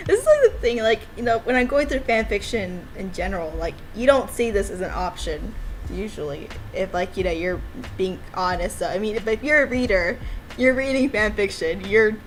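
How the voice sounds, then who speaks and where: Excitable girl gamer chatting casually over her own game, medium pitch, bit of background noise. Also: normal speed, rough recording. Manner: giggly, excitable, informal. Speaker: game developer streaming own visual novel. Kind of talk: casual playthrough chat, reading dialogue aloud.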